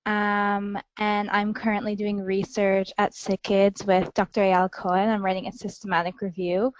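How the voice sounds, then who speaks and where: A woman's voice: medium pitch, little pitch movement, moderate pace, clear, resonant tone, no room sound. German-born woman saying hi on a webinar, casual, um's, kinda muffled. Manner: casual, with fillers. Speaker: student researcher. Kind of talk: self-introduction on a webinar. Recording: lo-fi webinar audio, dry.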